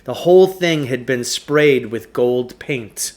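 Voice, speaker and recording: A man reading a storybook aloud as narrator, in a deliberate, expressive way. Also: medium pitch. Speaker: teacher reading a book aloud.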